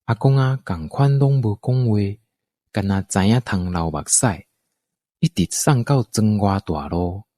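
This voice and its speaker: A man's voice: fairly low pitch, lively intonation, a little slow, warm tone, dry recording. A man with a Taiwanese accent narrating a scripted folktale for an audio drama.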